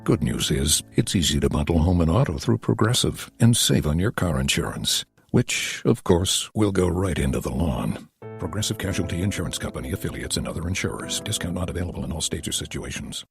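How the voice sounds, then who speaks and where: Deep gravelly male ad narrator. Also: lively intonation, brisk, faint music behind. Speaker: commercial narrator. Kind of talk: scripted comedic ad read.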